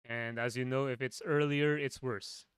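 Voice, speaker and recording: Low-voiced man chatting casually. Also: steady tone, moderate pace, smooth, no echo, clean studio sound. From Philippines. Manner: rambling, with frequent restarts. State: voice tiring. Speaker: streamer. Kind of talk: casual stream chat.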